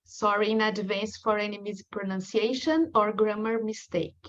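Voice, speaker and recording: A woman from Brazil with a strong Brazilian accent, a Portuguese speaker giving a prepared academic lecture in a careful, measured way with frequent ums. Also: mid-range voice, steady tone, lo-fi sound. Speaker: guest lecturer.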